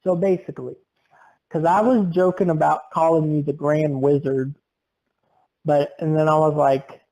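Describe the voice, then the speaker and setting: Young man, podcast host, comic exaggeration, mellow. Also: fairly high pitch, fairly even intonation, moderate pace, low-quality recording. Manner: exaggerated tall-tale telling. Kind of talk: comic storytelling.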